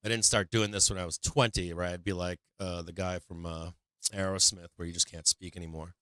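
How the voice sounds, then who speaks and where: A hoarse middle-aged man hosting a casual stock market livestream, rambling candidly and swearing now and then as his voice gives out. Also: sits low, little pitch movement, a little fast, pushed and bright, no room sound.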